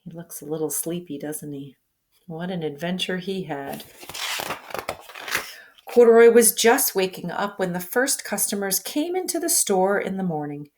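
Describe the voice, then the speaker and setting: A woman reading a children's picture book aloud as a teacher, expressive and pausing to ask the listener questions. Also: fairly low pitch, a little hiss or hum.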